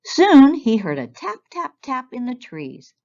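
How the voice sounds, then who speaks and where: A woman storyteller reading a children's picture book aloud in an expressive style. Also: high voice, unhurried. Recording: dull, lo-fi sound.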